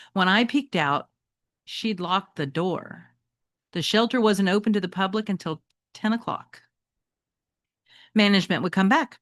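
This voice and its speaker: A woman's voice: mid-range voice, no echo, studio quality. A woman teacher reading a children's novel aloud expressively, speaking directly to her young listeners. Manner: expressive, addresses listeners with check-in questions.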